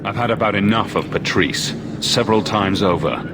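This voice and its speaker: A man acts out a confident adventurer in a video game, blunt and a little weary. The pitch sits on the low side, and loud background ambience runs under the dialogue. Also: moderate pace, noisy background, lightly acted. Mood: fed up.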